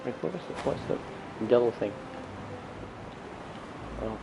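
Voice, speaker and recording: A man's voice: mid-range voice, animated, slow pace, vocal fry, background noise. A man livestreaming a video game, muttering short casual reactions to himself as he plays. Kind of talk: casual gameplay commentary. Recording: game music playing behind voice. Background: video game music.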